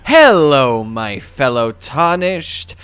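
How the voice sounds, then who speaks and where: A man's voice: mid-range voice, very animated, slow, fairly bright tone, clear, resonant tone, no echo. A man presenting a scripted video game walkthrough, with emphatic, dramatic phrasing.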